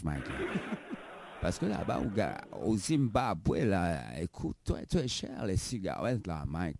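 A man's voice: lowish voice, gravelly, darkish tone, no echo, full character voice. A man playing a silly, boastful African visitor to Canada in a comedy interview sketch. He keeps calling the host by name and tacks filler tags onto his phrases. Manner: giggly, playful bragging.

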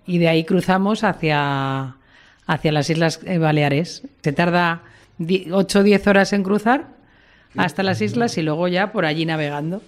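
A woman's voice: on the low side, normal speed. A woman in her fifties from Spain, an airline captain speaking plainly as a radio guest.

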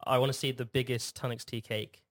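A man's voice: mid-range voice, level tone, a bit bright, dry recording, clean studio sound. A man hosting a casual radio chat, friendly and teasing as he leads the conversation. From Scotland. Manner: quick, friendly, teasing interviewer. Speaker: radio host.

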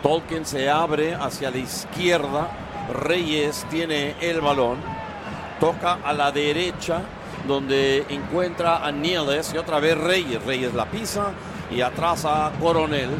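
A man's voice: on the high side, slow pace. A man giving live play-by-play commentary on a soccer match. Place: open-air soccer stadium. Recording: noisy outdoor stadium broadcast. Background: crowd chants and stadium ambience.

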